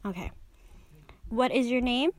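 A woman's voice: a little high, a little slow, no room sound. A woman conducting an oral history interview over a remote call, asking short plain questions. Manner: short plain questions, hesitant follow-ups. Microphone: remote video call.

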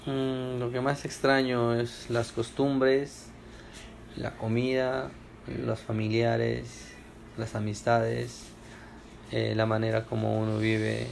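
A man's voice: mid-range voice, flat delivery, takes it slowly, vocal fry, slight background noise. A young man from Ecuador answering oral history questions at home, hesitant with many fillers and restarts. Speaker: interviewee.

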